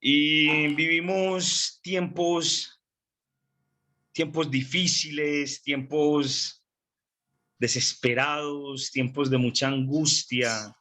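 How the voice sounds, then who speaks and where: A man preaching a sermon, congested with a cold, speaking slowly and deliberately with repeated phrases and frequent throat clearing. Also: medium pitch, steady tone, pushed and bright.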